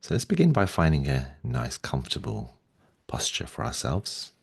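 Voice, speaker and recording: A man leading a guided meditation in a gentle, soothing way, speaking slowly and softly with long pauses. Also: very deep voice, wildly swooping pitch, gravelly, husky, no room sound, studio quality.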